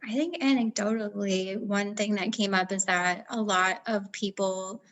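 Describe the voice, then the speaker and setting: A woman's voice: medium pitch, fairly even intonation, unhurried, fairly bright tone. Casual, conversational woman researcher. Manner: conversational, peppers speech with "like". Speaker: researcher and panelist. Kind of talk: casual panel discussion.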